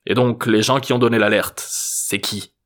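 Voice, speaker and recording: A man's voice: lowish voice, fairly even intonation, normal speed, fairly bright tone, natural voice. A man voicing a jaded, suspicious policeman in an audiobook story, with a curt, weary manner. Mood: baffled, weary. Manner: curt, would-be firm, weary.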